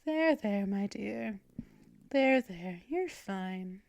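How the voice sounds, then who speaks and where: A woman voicing a gentle, possessive female vampire mistress in an ASMR roleplay, speaking softly and often dropping into whispers and shushing. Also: mid-range voice, expressive, drawn-out words, creaky, full character voice. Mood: soothing. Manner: soft and soothing, drifting into whispers and shushing. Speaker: ASMR performer.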